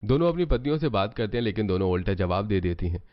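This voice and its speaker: A man's voice: fairly low pitch, expressive, quick pace, lo-fi sound, slight background noise. A man reading scripted storybook narration. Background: background music.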